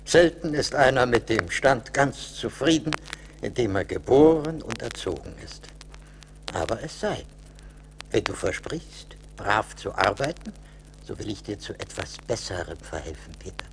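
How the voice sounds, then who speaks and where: An actor playing a kindly but stern centuries-old little forest spirit in a radio play, measured and paternal. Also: male voice, medium pitch, expressive, noisy background. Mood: gently admonishing. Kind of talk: 1980s German radio play acting.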